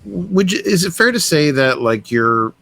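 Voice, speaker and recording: A man's voice: a little high, very animated, gravelly, fairly bright tone, dry recording. Eager male podcast host asking questions. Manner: enthusiastic, halting questions. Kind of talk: interviewing, casual chat.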